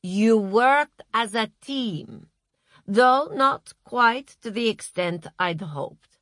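A woman voicing a patronizing, serenely fanatical Russian female wellness guru in an audiobook, speaking with deliberate patience. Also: on the high side, expressive, fairly bright tone, no room sound, studio quality, voice pushed up, full character voice. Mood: pleased, smug. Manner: slow, deliberate, patient condescension.

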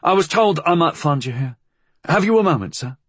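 A man's voice: a little high, animated, fairly quick, dry recording, voice pushed up. A man voicing a nervous, deferential police inspector in an audiobook, hesitant and formally polite. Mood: deferential, urgent.

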